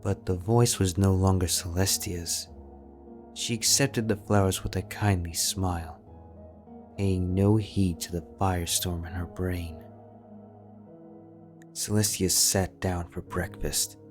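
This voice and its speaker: Deep-voiced male audiobook narrator. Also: faint music behind. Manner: measured, steady storytelling.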